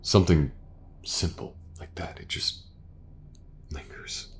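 A man playing a gentle, reassuring detective in an intimate audio roleplay, speaking softly and hesitantly, often near a whisper. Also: slow pace, lightly acted. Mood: hesitant, tender. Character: gentle, reassuring male detective with an injured eye.

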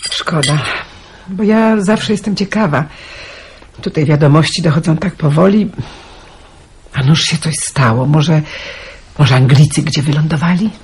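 A woman's voice: low-pitched, unhurried, pauses often. An actress playing a lonely, nosy country woman in a radio play, effusive and fussing. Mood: curious, effusive. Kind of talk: 1990s Polish radio play acting. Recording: slightly noisy radio drama recording.

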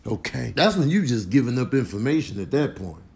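A man's voice: medium pitch, sing-song, a little fast, gravelly, darkish tone. An American man giving comedic commentary on clips, exaggerating with slangy, incredulous delivery.

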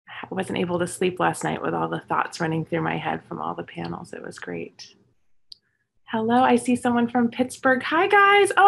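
A middle-aged woman hosting a children's Arabic storytime from home over a webcam, cheerful and encouraging, slowly repeating words for the kids. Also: mid-range voice, vocal fry, fairly bright tone. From born and raised in English-speaking countries, Palestinian roots. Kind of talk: teaching numbers to young children over a video stream. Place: home room with shelves of toys and books. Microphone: webcam at close range, video call.

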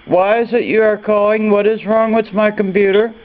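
High-voiced man on a tinny recording. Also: little pitch movement, normal speed. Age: adult. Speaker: person answering a suspicious phone call. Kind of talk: phone conversation. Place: indoors at a home desk.

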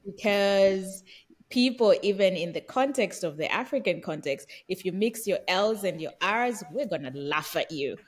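A woman in her thirties from Zambia and Zimbabwe, co-hosting a podcast from home over a video call, reflective and quick to laugh. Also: medium pitch, expressive. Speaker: podcast co-host. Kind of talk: casual conversational chat. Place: small room at home.

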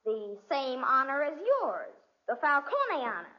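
Cheeky young farm boy, high swooping voice, mocking, vintage radio drama. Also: big pitch swings, a little slow. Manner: mocking, teasing. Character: cheeky, cunning young farm boy teasing his elders. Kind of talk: 1940s radio drama acting. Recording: dull 1940s radio recording.

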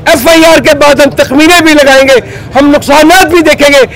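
A man's voice: extremely high pitch, fairly even intonation, normal speed, fairly bright tone, rough recording. A middle-aged man, a market trader leader pleading in an agitated street interview into a handheld mic. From Pakistan. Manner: agitated, pleading, gesturing hard. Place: outdoors on a busy city street. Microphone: handheld reporter mic.

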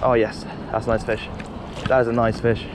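A young man in his twenties, vlogging outdoors by a lake while fishing, talking excitedly and repeating himself as he reels in carp. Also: mid-range voice, background noise. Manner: excitable, repeats himself, fast exclamations while reeling in fish. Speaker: angler vlogger.

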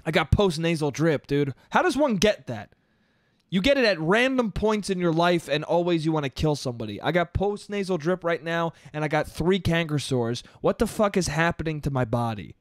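Solo podcaster, young man, slightly high voice, congested, loose profane rambling, dry close mic in a small room. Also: animated, normal speed, dry recording. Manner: loose, profane, rambling, laughs at own jokes. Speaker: podcast host. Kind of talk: casual solo podcast monologue. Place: small bedroom studio. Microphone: boom-arm studio mic up close.

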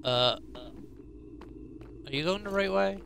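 A man's voice: sits high, animated, slow pace, gravelly, a bit bright. A man bantering casually and teasingly as he plays a roleplay game, with a sarcastic edge. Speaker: gamer in a roleplay series. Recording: game music behind the voice. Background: game music.

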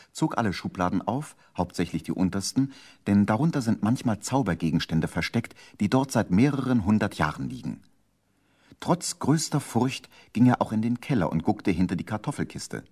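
A male narrator with a low voice tells a seventies children's radio tale. His delivery is theatrical and emphatic, and his pitch moves in a lively, wide range. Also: normal speed. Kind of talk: scripted storytelling for a 1970s children's radio play.

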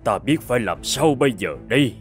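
A man's voice: mid-range voice, animated, a little fast, faint music behind, lightly acted. A man voicing a worried, protective old king and father in a fairy-tale cartoon, grave and anxious.

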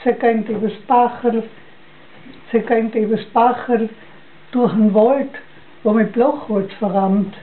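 An older woman with a Styrian Austrian accent recites a dialect poem from memory, halting and repeating phrases, on a lo-fi home recording. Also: on the high side, steady tone. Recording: dull lo-fi home recording, noisy.

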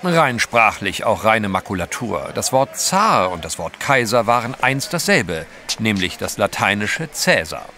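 Ein Schauspieler spielt in einem Hörspiel einen vornehmen, abenteuerlustigen britischen Gentleman, höflich und etwas wichtigtuerisch. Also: fairly low pitch, sing-song, measured pace, hardly any pauses, a little hiss or hum, exaggerated voice. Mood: lecturing, amused. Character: genteel, adventurous British gentleman, fond husband. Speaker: actor in an audio drama. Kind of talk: German audio drama acting.

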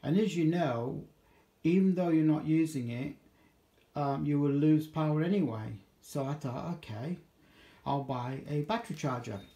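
A man's voice: fairly high pitch, normal speed, dark tone. A man giving a casual, unscripted demonstration in a hesitant, rambling way, correcting himself as he goes, recorded on a handheld phone in a home kitchen. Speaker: amateur radio hobbyist vlogger. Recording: echoey room, handheld camera.

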